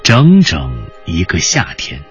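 Deep, slow male storyteller over music. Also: wildly swooping pitch, muffled recording. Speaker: narrator of a radio drama. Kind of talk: dramatic storytelling narration.

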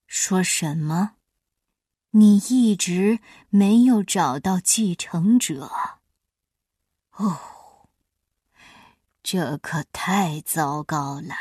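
A woman voicing a grand old male storyteller in a cartoon dub, speaking in a slow, deliberate, weighty way. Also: on the low side, a little mellow, breathy edge, dry recording, clean studio sound, pitched below the performer's own voice, exaggerated voice. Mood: stern.

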